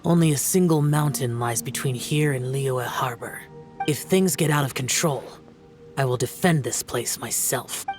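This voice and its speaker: A man acts as a dignified immortal guardian in a fantasy game. He is aloof and formal, with measured, terse phrasing over faint music. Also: mid-range voice, natural voice. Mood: solemn, resolute. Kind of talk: video game voice acting.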